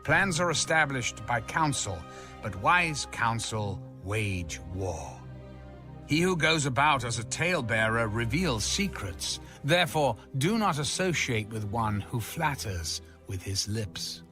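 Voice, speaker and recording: A man reading scripture aloud in a solemn, measured, declamatory style with music behind him. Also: medium pitch, big pitch swings, bright, forceful tone. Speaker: Bible reader.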